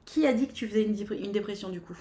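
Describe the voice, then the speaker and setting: A woman's voice: mid-range voice, quick pace, warm tone. A woman hosting a live stream in a blunt, casual way, swearing now and then.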